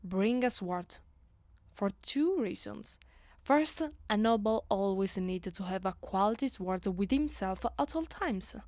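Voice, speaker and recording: A woman's voice: mid-range voice, expressive, unhurried, a little mellow, no room sound, close to an everyday voice. A woman with an Italian accent playing a commanding, teasing vampire noblewoman in an ASMR roleplay, imperious and playful, often slipping toward a whisper. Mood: instructive, imperious. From Italy. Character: commanding, teasing, seductive aristocratic female vampire. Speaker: voice actress.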